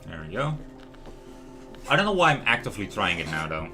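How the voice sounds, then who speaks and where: Bright, low-voiced man casually streaming a game. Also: very animated, brisk. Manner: rambling, chatty, talks to viewers. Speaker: livestream host. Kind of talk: casual gameplay livestream commentary. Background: lo-fi music and game sound.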